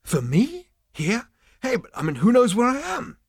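A man voicing a cocky, excitable alien hipster in an audiobook, brash and slangy with indignant exclamations. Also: medium pitch, a little fast, no echo, studio quality, voice pushed up. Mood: brash, agitated.

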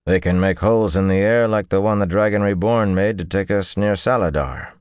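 A man voicing a calm, courteous nobleman officer in an audiobook, speaking politely and with measured assurance. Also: sits low, clean tone, no echo, clean studio sound, voice pushed up, lightly acted. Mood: calm, assured. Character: calm, courteous nobleman soldier, loyal second-in-command.

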